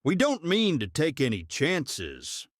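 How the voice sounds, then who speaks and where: An actor playing a steady, protective night guard in an audiobook, plain-spoken and reassuring. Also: male voice, fairly high pitch, slow pace, no echo, clean studio sound, heavily acted. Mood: hushed reassurance. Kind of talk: dramatized audiobook acting.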